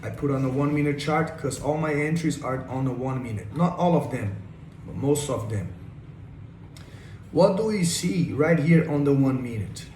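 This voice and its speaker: A man's voice: medium pitch, animated, normal speed, darkish tone. A young man from Brazil with a Brazilian Portuguese accent, enthusiastically walking viewers through his day trade and repeating "check this out". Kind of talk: screen-share trade breakdown. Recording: echoey room with faint noise.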